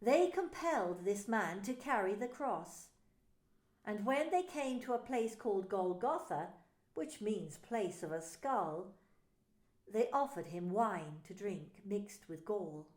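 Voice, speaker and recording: Slow woman reading scripture aloud. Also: medium pitch, expressive, darkish tone, roomy sound. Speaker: pastor narrating.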